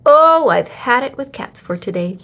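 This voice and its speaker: A woman's voice: fairly high pitch, lively intonation, normal speed, a bit bright, slight background noise. A woman voicing an exasperated wealthy lady who scolds dramatically in a storybook. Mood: scolding.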